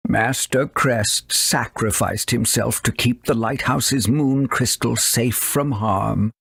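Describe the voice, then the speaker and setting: A man voicing a fussy, caring elderly owl with a raspy voice and theatrical delivery in a video game. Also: medium pitch, slow pace, a bit bright, no room sound, full character voice. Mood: grave, sorrowful. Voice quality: raspy, creaky.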